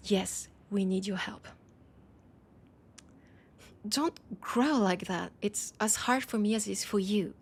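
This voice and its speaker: A woman with a French accent playing a proud, worried vampire of a supernatural council in an intimate audio roleplay, speaking in an earnest, halting way. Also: medium pitch, creaky, slightly rough, heavily acted. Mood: reluctant, pleading.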